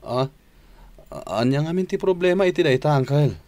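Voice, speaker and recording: An actor playing a meek, hesitant farmer with an Ilocano Filipino accent in a radio drama. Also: male voice, on the high side, moderate pace, a little mellow, no room sound, lightly acted. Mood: flustered. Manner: hesitant, deferential. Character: meek, respectful farmer nephew, intimidated husband.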